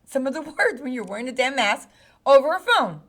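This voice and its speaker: A woman's voice: high voice, a little fast. A woman from Chicago, raised speaking Spanish first, telling a story as a podcast co-host in casual chat. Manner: animated storyteller, laughs often.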